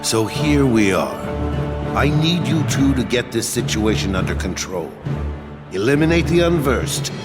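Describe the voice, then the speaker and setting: A man playing a stern but caring middle-aged master in a fantasy game cutscene, speaking in formal, solemn, measured phrases. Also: medium pitch. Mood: commanding. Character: stern, caring older master of young warriors. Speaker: mentor and master. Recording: music loud behind the voice.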